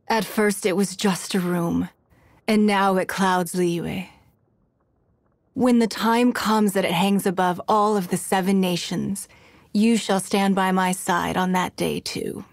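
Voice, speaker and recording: A woman voicing a poised, calculating, wealthy noblewoman in a fantasy video game, speaking in a measured, formal and haughty manner. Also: mid-range voice, gravelly, no room sound. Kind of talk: video game character voice lines.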